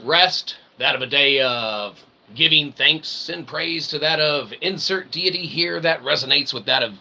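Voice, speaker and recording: Male comedy host, higher-than-usual voice, gravelly creak, showman patter, convoluted mock-formal rambling, noisy recording. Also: a little slow, bright, forceful tone. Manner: theatrical showman delivery with repeated catchphrases. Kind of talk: rambling comic monologue to an audience.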